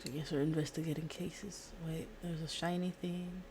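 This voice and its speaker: A woman's voice: low voice, little pitch movement, moderate pace, background noise. A woman livestreaming a game with casual, giggly, rambling commentary. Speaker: streamer. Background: video game audio.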